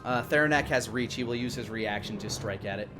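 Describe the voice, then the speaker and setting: A man's voice: mid-range voice, brisk. A man in his thirties narrating a tabletop fantasy game as game master, improvising as he goes. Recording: music playing behind the voice.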